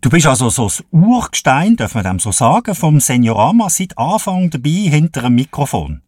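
A man's voice: mid-range voice, sing-song, normal speed, darkish tone, no echo, clean studio sound. A man hosting a radio interview, asking friendly, prompting questions. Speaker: radio host.

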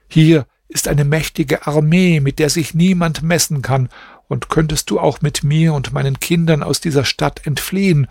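Man doing a heartbroken captive princess in a storybook, pleading and mournful, voice pushed up high, a little husky, dry close sound. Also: darkish tone, dry recording. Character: grieving captive young princess, tearful wife.

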